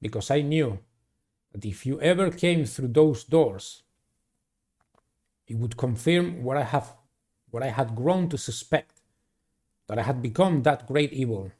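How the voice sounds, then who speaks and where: A man voicing a grave, regretful vampire warlord in a gothic game, solemn and measured. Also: mid-range voice, lively intonation, darkish tone. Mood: solemn confession.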